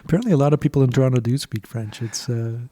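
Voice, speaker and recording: Man, native Turkish speaker, podcast host, medium pitch, reflective, measured. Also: warm tone. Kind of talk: casual conversation.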